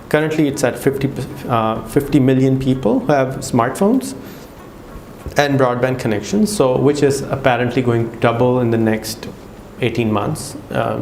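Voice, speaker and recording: A man's voice: medium pitch, darkish tone, a little hiss or hum. Pakistani man chatting in an echoey room. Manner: chatty, many fillers and restarts. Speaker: vlogger. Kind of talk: casual talk to camera.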